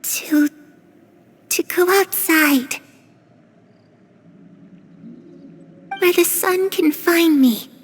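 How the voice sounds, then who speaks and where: A lonely young girl in a video game. Her voice is high and unhurried, with a wistful, cryptic, hesitant manner.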